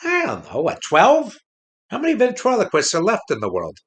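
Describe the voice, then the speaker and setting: A man's voice: high-pitched, huge pitch swings, moderate pace. A man playing a disgruntled ventriloquist dummy, a sardonic showbiz veteran who gripes and wisecracks in a comic audio play. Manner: griping, sardonic, emphatic.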